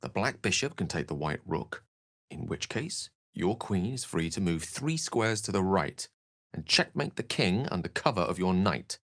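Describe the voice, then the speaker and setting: A man's voice: low voice, expressive, normal speed, creaky, fairly bright tone, dry recording, studio quality, exaggerated voice. An actor playing an erudite, witty and self-assured wizard in a video game, eloquent and theatrical in his wordy delivery. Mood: measured, scholarly. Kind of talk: video game character acting.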